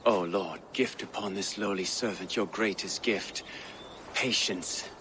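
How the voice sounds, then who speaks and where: A man's voice: sits low, a little slow, bright, forceful tone, slight background noise. An actor playing a dour, pious young Saxon man with a dry, formal, sardonic manner in a game cutscene. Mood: pious, weary. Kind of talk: video game cutscene acting.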